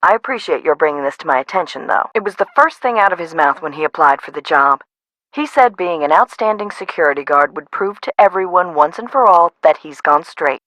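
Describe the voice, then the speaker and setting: No-nonsense park boss lady, lowish voice, dry close sound, video game. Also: expressive, brisk, a bit bright, no echo, low-quality recording. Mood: businesslike. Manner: blunt, matter-of-fact. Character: businesslike female amusement park boss, blunt and exacting.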